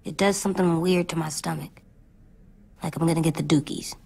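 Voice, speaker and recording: Solemn little boy from a survival game, stubborn, plain childlike talk, soft music behind. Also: low-pitched, steady tone, brisk, creaky. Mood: worried. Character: earnest, stubborn little boy in a zombie apocalypse. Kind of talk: naturalistic video game acting.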